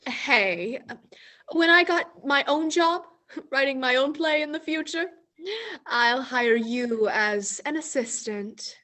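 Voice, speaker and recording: A woman's voice: high-pitched, animated, takes it slowly, bright, forceful tone, exaggerated voice. A young American woman playing a brash, plucky heroine in a farcical radio play, with theatrical comic acting. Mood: teasing.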